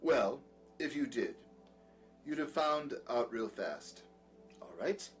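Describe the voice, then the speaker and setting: A man's voice: medium pitch, animated, slow pace. A man playing a peculiar, condescending doctor with a dry, sardonic manner in an audio drama, secretly sinister. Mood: brisk, clinical. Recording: music behind the voice.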